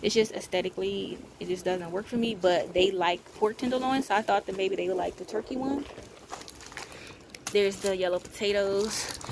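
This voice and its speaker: Woman casually itemizing, in noisy audio. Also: medium pitch. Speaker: home vlogger showing a grocery haul. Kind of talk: casual haul narration. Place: indoors at home, carpeted room.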